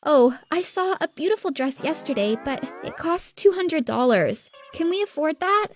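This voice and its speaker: A woman's voice: high voice, expressive, pitched above the performer's own voice. A woman voicing a spoiled, wheedling young sister in a coy, sugary manner for a story. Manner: coy, sugary, drawn-out pleading.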